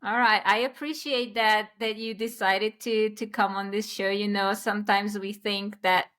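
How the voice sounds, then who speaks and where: A woman hosting an interview podcast, framing her questions in an unhurried, hesitant way that repeats words. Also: mid-range voice, fairly even intonation, a bit bright. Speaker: podcast host. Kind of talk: casual interview chat.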